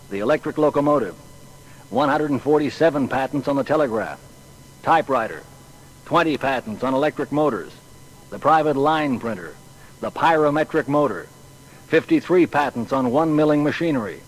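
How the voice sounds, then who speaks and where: Actor as a high-pitched, stately male banquet orator. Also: natural voice, poor audio. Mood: brisk reciting. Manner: declamatory, stately. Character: proud American banquet orator giving a grand toast. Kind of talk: dramatised formal oratory.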